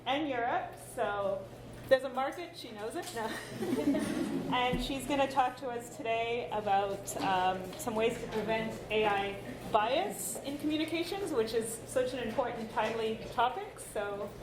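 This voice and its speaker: A woman's voice: on the high side, expressive, measured pace, crowd noise. A woman host introducing the next speaker at a conference in a casual way. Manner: casual, with um fillers. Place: conference hall. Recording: echoey room with noise.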